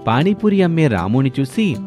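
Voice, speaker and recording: A man's voice: a little high, big pitch swings, normal speed, darkish tone, faint music behind. A man narrating a scripted moral story as a storyteller.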